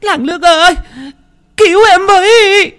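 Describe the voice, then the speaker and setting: A terrified village woman wails in a piercing, very high voice, put on by a man narrating a story. Her cries are frantic and shrill. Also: expressive, moderate pace, a bit bright, exaggerated voice. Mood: panicked wailing. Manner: shrill panicked wailing.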